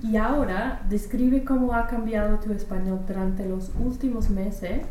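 A woman conducts a research interview, asking short, plain questions and nudging along with quick affirmations. Her tone is mellow and she sits away from the mic, in an echoey room with faint noise. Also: medium pitch, fairly even intonation, far from the mic. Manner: brief prompts and backchannels.

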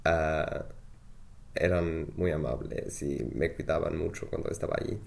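Low gravelly young man, hesitant. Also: measured pace, a little mellow. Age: young adult. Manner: hesitant, many filler sounds and restarts. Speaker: student interviewee. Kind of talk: interview answers in learner Spanish.

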